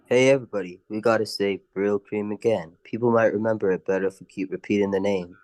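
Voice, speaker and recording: A man's voice: fairly low pitch, steady tone, quick pace, a little mellow, natural voice. A man as an eager newsroom employee. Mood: keen. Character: helpful, keen male newsroom employee. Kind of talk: old-time radio comedy acting.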